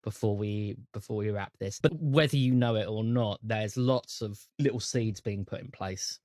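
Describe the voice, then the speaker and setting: A man's voice: lowish voice, moderate pace, darkish tone, no room sound, clean studio sound. A man with a British accent, game mastering and chatting casually on a tabletop roleplay show.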